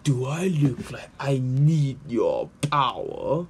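Someone doing a gruff, hot-tempered echidna warrior. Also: medium pitch, sing-song, slow, a little hiss or hum, voice pushed down. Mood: angry. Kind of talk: amateur comic dub.

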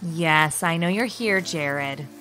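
A woman's voice: low-pitched, slow, pushed and bright, heavily acted. A woman playing a sardonic, aloof teenage girl in an audio drama. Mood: snide. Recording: music under the voice.

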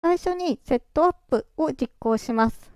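A presenter walking through software setup step by step in a calm, explaining way, their voice run through a pitch-raising voice changer. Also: normal speed, no room sound, clean studio sound. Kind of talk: screen-recorded software walkthrough.

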